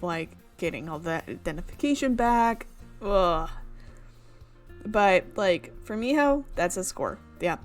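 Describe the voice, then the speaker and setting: Chatty young woman reading a visual novel. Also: medium pitch. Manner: chatty, amused asides, laughs at the script. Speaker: visual novel let's player. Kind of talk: reading a game aloud with casual commentary.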